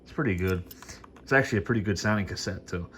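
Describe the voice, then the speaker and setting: A man's voice: low-pitched, fast talker, fairly bright tone, a little hiss or hum. A man giving a casual, unscripted demo as a hi-fi hobbyist, rambling along in an informal, enthusiastic way. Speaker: vintage hi-fi hobbyist demonstrating gear.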